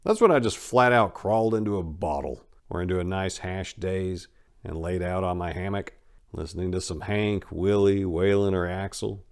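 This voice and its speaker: Man, lowish pitch, memoir narrator, dramatic first-person storytelling, emphatic stresses, trailing asides. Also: normal speed, clear, resonant tone.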